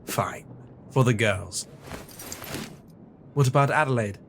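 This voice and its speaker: An actor playing an observant, wry Victorian detective in an audio drama, with a dry, precise, theatrical delivery. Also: male voice, medium pitch, takes it slowly, a little hiss or hum. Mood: grudging, fond.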